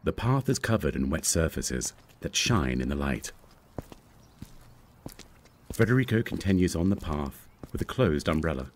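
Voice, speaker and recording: A man with a low voice serves as script narrator, reading stage directions with very lively intonation. The recording has clearly audible noise. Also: normal speed, long pauses.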